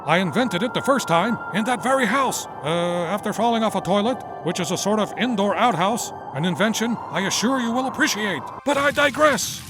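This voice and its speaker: Eccentric inventor dad, high voice, wordy theatrical speeches, bit of music under it. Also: male voice, animated, normal speed, few pauses, poor audio. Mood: grandiose, rambling. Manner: verbose, digressive, grandiose. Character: eccentric excitable middle-aged male inventor, rambling father. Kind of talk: motion comic voice acting.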